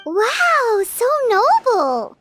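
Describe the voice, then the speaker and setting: Tiny excitable game fairy, squeaky, extremely high, wildly swooping pitch, music behind. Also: female voice, drawn-out words, slight background noise, full character voice. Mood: excited, curious. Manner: exclamatory, refers to herself in third person. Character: small childlike floating fairy companion, excitable, greedy. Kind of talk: video game character acting. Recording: studio voice over prominent game music.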